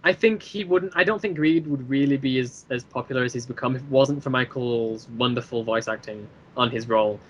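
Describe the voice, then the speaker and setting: A man in a casual podcast chat, explaining his own creative work in a rambling, halting way and repeating phrases as he goes. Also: mid-range voice, lo-fi sound. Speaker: guest, creator of an audio drama series.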